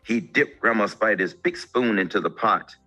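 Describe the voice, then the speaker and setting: Very bright, animated male storyteller. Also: on the low side, normal speed, faint music behind. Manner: expressive, theatrical. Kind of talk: animated children's story narration.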